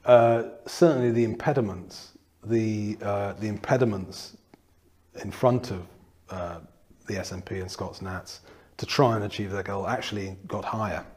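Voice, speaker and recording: A man from northeast England hosts a political conversation in a somewhat low voice. He circles his questions with restarts, fillers and lively asides before landing them. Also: moderate pace. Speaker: interviewer. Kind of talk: political discussion.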